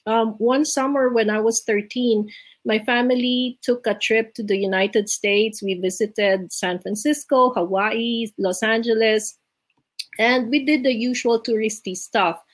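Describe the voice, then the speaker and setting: A middle-aged woman from the Philippines speaks in a slightly high voice, pausing often on ums. She sounds reflective and sincere, as if talking over a video call from home. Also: steady tone. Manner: reflective, many ums and restarts. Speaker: leadership award speaker. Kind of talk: personal life story talk. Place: home, virtual event.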